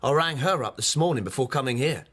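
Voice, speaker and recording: A man's voice: medium pitch, expressive, fast talker, fairly bright tone. A male actor playing a suspicious, menacing brother in a radio drama, blunt and interrogating. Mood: accusing. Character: suspicious, menacing brother hunting for his sister.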